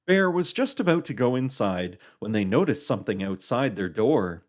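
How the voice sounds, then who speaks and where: Animated children's story narrator. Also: mid-range voice, normal speed. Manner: expressive, storybook delivery.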